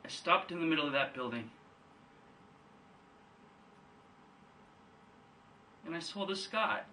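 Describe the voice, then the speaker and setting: Man acting an anguished, defiant son, high and bright. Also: expressive, normal speed, a little hiss or hum, heavily acted. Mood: quiet, searching. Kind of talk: theatrical audition monologue. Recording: distant microphone.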